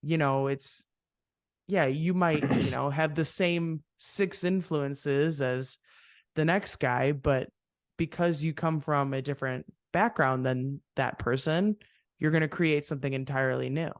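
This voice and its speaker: Male podcast host, mid-range gravelly voice, reflective and rambling, dry close mic. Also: a little high, fairly even intonation, measured pace, dry recording. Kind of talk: casual interview chat.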